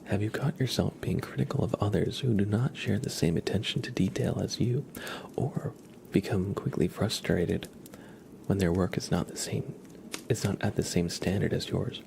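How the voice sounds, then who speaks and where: A man hosting an ASMR livestream, speaking softly in a murmur that often slips into whispers. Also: lowish voice, moderate pace, vocal fry, slight background noise. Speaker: livestream host. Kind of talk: soft-spoken ASMR livestream.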